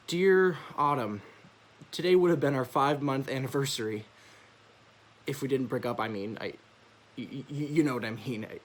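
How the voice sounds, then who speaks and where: A man's voice: medium pitch, lightly acted. A man acting a bereaved teenage ex-boyfriend, flustered and stammering, in a stage monologue. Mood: sad, flustered. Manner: halting, stammering. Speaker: stage actor. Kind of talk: theatrical letter monologue.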